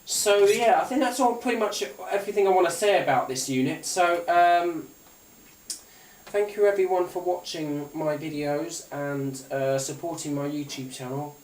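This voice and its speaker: A man's voice: a little high, normal speed. Roomy, distant man, rambling and hesitant. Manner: rambling, hesitant, repeats phrases. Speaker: gadget review YouTuber. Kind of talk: casual unscripted product demonstration. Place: indoors at a tabletop, away from the mic. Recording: roomy, distant mic, faint hiss and clicks.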